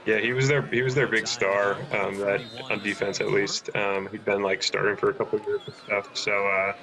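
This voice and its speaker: A young man, a former quarterback, reminiscing casually in a relaxed, hesitant way with fillers. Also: on the low side, brisk, crowd noise, low-quality recording.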